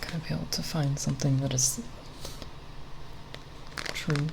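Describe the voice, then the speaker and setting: A young woman talking softly in a quiet, unscripted ASMR ramble, hesitant and self-deprecating, sometimes breathy. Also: low-pitched, a little slow. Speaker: ASMR video creator. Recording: steady background noise with tapping sounds. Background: plastic bottle tapping sounds.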